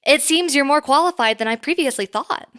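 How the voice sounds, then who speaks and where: A woman's voice: sits high, a little fast, bright, forceful tone. A woman playing a poised female boss in an audio drama, speaking formally and precisely as she earnestly interviews an applicant. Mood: businesslike. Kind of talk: audio drama acting, conversational.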